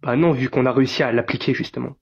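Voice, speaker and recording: A man with a mid-range, husky voice speaks fast in an online debate, hesitating and filling his pauses. His audio comes through dull and lo-fi. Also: mid-range voice, fairly even intonation. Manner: hesitant, many fillers.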